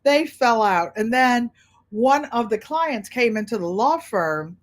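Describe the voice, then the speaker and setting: A woman's voice: fairly high pitch, expressive, takes it slowly. A middle-aged woman from Connecticut with a Connecticut accent, a quilting channel host who chats frankly and rambles while sewing. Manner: rambling, frank, talks to viewers by name. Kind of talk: chatty livestream talk while sewing.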